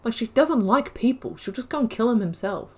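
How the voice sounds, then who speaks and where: A woman's voice: a little high, lively intonation, fairly quick, slightly rough. A woman commenting on her own video game playthrough, thinking aloud, rambling and correcting herself mid-sentence with casual, slangy asides. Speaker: gaming channel host. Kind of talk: let's-play commentary.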